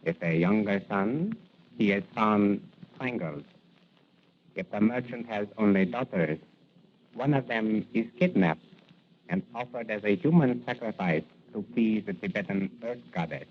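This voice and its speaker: A courtly merchant in a lowish voice. He speaks solemnly and formally, in measured phrases, as in a 1940s radio play on a tinny, noisy recording. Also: expressive. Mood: grave.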